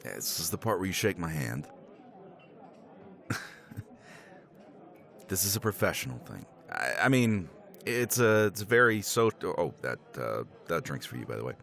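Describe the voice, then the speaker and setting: A man's voice: deep voice, very animated, a little slow, creaky, pauses often, fairly bright tone, exaggerated voice. A man playing a tipsy middle-aged professor in a romance roleplay, rambling with chuckles and casual swearing. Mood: persuasive. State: tipsy from scotch. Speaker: roleplay character.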